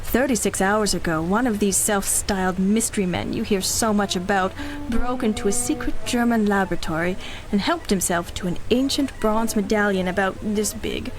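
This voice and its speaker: A woman's voice: mid-range voice, normal speed, over music, lightly acted, low-quality recording. An actress playing a cynical, ruthless female mercenary in a 1930s-style radio drama, speaking with arch, mocking condescension. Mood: coolly mocking.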